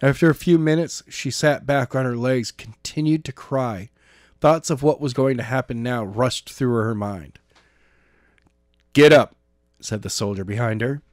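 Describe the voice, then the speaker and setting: A man's voice: medium pitch, moderate pace, darkish tone, no room sound. Man reading fiction aloud, dry sound. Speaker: podcast narrator and co-host. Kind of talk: audiobook-style reading of a novel chapter, amateur.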